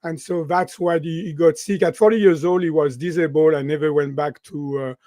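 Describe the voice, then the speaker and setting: A man in his sixties from France with a French accent, a guest in an interview, talking in an animated way and often ending sentences with "right?". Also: fairly high pitch, moderate pace, no room sound. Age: 60s. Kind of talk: interview conversation.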